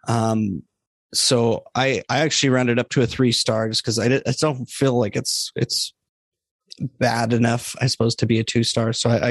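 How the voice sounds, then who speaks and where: Even-toned man giving hesitant opinions. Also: medium pitch, dry recording. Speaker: podcast guest reviewer. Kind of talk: casual book discussion.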